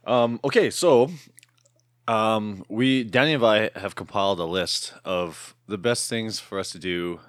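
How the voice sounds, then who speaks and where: A podcast host in his thirties talks loosely and rambles, laughing between thoughts. His voice is very low and raspy, worn hoarse. The sound is close and dry. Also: unhurried, no room sound. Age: 30s. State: hoarse from shouting the night before. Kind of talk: casual podcast chat.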